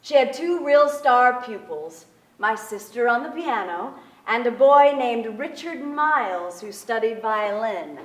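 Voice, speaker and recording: Young wistful memoir narrator, highish voice, stage reading, echoey theater. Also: female voice, expressive, a little slow, distant mic. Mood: reflective storytelling. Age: young adult. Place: large theater stage with audience.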